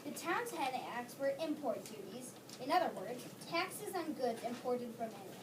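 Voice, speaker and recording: A woman with a fairly high voice reads history narration in a steady, informative way. She sits some way from the mic, with clear background noise. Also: moderate pace, far from the mic. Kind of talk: readers theater narration.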